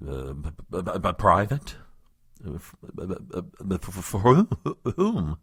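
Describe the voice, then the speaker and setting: A man playing a flustered aide, swooping pitch, husky. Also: huge pitch swings, moderate pace, a bit bright, no room sound, clean studio sound, full character voice. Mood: flustered, evasive. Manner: nervous stammering, stiffly formal. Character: flustered, self-important married male political aide fending off advances. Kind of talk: comedic audio drama acting.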